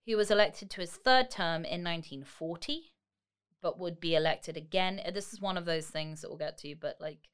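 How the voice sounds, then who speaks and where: A woman's voice: lowish voice, moderate pace, a bit bright, clean tone. A woman co-hosting a podcast, retelling history in a casual, wry and informal way. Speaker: podcast co-host.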